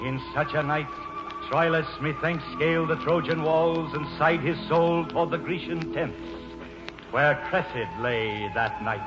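An actor in a 1940s radio play as a roguish, grandly romantic American actor who declaims poetically. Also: male voice, a little high, measured pace, close to an everyday voice. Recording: muffled old radio broadcast, music behind.

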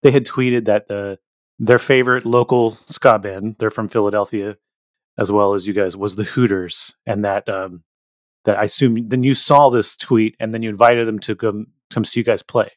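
Casting: male podcast host, lower-pitched, plain-spoken introductions and questions. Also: steady tone, moderate pace. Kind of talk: scripted introduction and casual interviewing.

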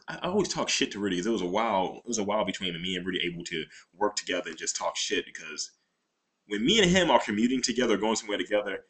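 A man co-hosting a podcast, chatting crudely and loudly, often laughing at his own jokes. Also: low voice. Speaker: podcast co-host. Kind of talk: crude casual chat.

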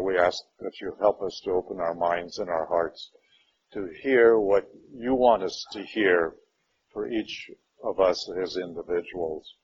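Slow-spoken man teaching a Bible class. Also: sits low, highs cut off, a little hiss or hum. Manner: unhurried, conversational, with frequent "uh" and "all right". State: slightly raspy from a cold. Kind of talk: opening prayer then informal Bible lesson. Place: church meeting room.

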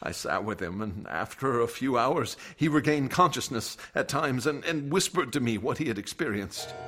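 A man's voice: mid-range voice, animated, pushed and bright. An actor playing a haunted young man recounting a friend's horrific death, in an intense radio drama style. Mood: sorrowful. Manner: intense, halting when distressed. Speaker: narrator and lead actor. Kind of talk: dramatic radio play narration. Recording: dramatic music under the voice.

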